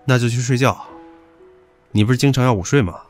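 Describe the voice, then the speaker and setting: A man's voice: mid-range voice, brisk, soft background music, lightly acted. A man acting in an audio drama as a blunt, hot-headed and possessive young rich man with a demanding manner. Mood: bossy, possessive.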